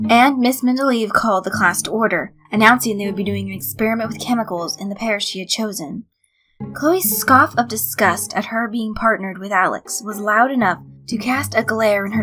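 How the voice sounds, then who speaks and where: Female audiobook-style reader, bright voice, narrating fiction over background music. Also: mid-range voice, rough recording. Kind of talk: scripted story narration.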